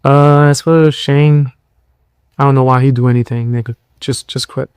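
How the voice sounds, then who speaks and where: A tired young man hosting a podcast, giving blunt, mocking commentary in loose slang with a low voice. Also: fairly even intonation, normal speed, darkish tone, smooth, no room sound. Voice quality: lower voice. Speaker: podcast host. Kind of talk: casual commentary.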